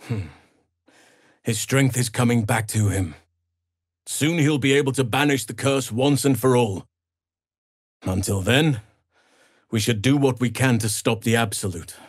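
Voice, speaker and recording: Man playing a burly elf druid, gentle, solemn, unhurried, earnest formal phrasing, dry close sound, fantasy game. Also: medium pitch, no room sound, lightly acted. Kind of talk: video game voice acting.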